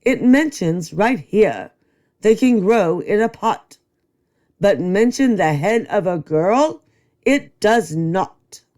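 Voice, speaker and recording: A woman's voice: mid-range voice, expressive, takes it slowly, warm tone. A woman voicing a fussy, bossy male school principal in a read-aloud storybook, declaiming in rhyme. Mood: puzzled.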